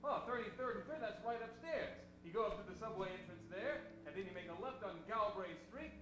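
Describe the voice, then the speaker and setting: A man's voice: high-pitched, lively intonation, fairly quick. A man playing an eager, plucky young shoeshine boy in an improvised old-time radio show, with folksy exclamations. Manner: folksy, exclamatory, gee-whiz. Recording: roomy, loud music behind.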